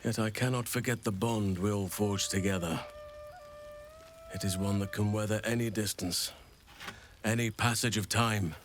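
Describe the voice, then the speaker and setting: An actor playing a gentle, wise old druid man in a fantasy video game, speaking in formal, measured, earnest sentences. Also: fairly low pitch, fairly even intonation, soft background music, natural voice. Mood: warm, longing. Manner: formal, measured, eloquent speech.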